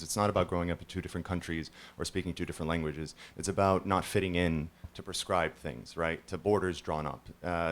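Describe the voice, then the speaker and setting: A man on a panel at a reading, giving an argumentative, academic answer. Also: sits low. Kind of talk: discursive answer. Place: event hall.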